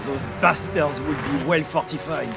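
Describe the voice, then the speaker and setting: An actor with a French accent playing a proud, cynical army commandant in a war game, haughty and disdainful, over music. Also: male voice, fairly high pitch, fairly even intonation, moderate pace, gravelly. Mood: grim. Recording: music under the voice.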